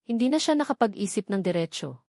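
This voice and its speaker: A woman with a Filipino accent narrates a dramatic story from a script. Her voice sits at a middle pitch. The recording is dry and close, with little room sound, in the manner of an audiobook. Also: animated, quick pace, darkish tone, dry recording.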